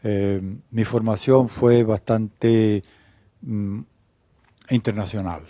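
An older man from Chile, a guest theologian answering interview questions in a measured, unhurried way with many pauses. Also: lowish voice, steady tone, mellow, no echo.

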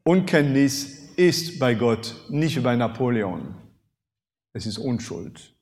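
A man in his fifties from France with a French accent, preaching a sermon with animated bursts of emphasis. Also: medium pitch, takes it slowly. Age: 50s. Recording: long hall reverb.

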